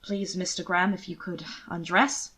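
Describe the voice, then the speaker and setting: A woman's voice: lowish voice, steady tone, normal speed, husky. Woman voicing a clipped, proper household servant. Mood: quietly frowning. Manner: quiet, clipped, formal.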